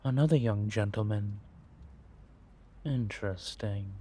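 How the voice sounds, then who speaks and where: Male actor as sly vampire spy, lowish husky voice, very unhurried, playfully predatory, theatrical, audio drama monologue. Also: mellow. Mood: calculating, intrigued. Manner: theatrical, savoring, drawn-out musing with pauses. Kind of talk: scripted audio roleplay monologue addressed to a companion.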